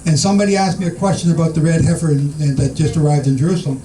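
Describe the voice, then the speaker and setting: Male Bible teacher, high and mellow, reads scripture and quizzes the group, recorded from a distance. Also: very fast, a little hiss or hum. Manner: reads scripture aloud and questions the listeners. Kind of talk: informal Bible study teaching. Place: room with a small group in the Philippines.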